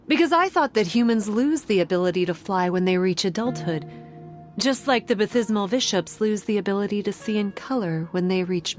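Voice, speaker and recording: A woman playing a solemn young shrine maiden in a video game, guarded and scholarly, explaining in measured, formal phrases. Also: medium pitch, close to an everyday voice. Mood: suspicious. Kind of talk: video game character acting.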